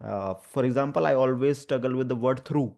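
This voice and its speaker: A man with an Indian accent and a stammer, speaking haltingly and earnestly as an advocate sharing personal experience. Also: medium pitch, creaky, a little mellow. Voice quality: stammer, with repeated sounds and blocks. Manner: hesitant, halting, earnest phrasing. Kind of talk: personal talk about lived experience, conversational.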